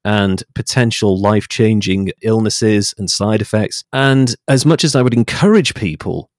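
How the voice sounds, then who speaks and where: A man in his late thirties with a fairly low voice, recorded close and dry. He talks as an interview guest in an articulate, measured, opinionated way, reflecting at length. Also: a little mellow, no room sound. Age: late 30s. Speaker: podcast guest.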